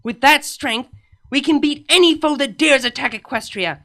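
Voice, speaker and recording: A woman's voice: high voice, moderate pace, voice pushed up. A woman voicing a cheerful, zealous young female pony princess in a storybook comedy, with an eager, emphatic manner. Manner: bright, eager, emphatic delivery.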